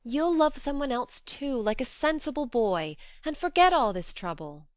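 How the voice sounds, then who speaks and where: A woman playing an earnest, strong-willed young woman, speaking gently but firmly in a slightly raised, smooth voice. Her tone is close and dry. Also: sits high, lively intonation, normal speed, dry recording, voice pushed up. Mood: reasoning. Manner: earnest, reasoning, gently firm.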